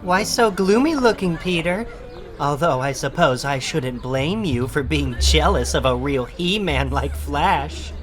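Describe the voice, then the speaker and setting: A man voicing a soft, sympathetic young female secretary in a gentle, consoling way for a comic book reading. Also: a little high, a little slow.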